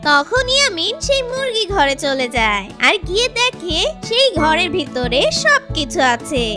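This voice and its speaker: A children's story narrator with an extremely high, childlike voice. The scripted delivery is lively and swings in pitch, over clear background music. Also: a little slow.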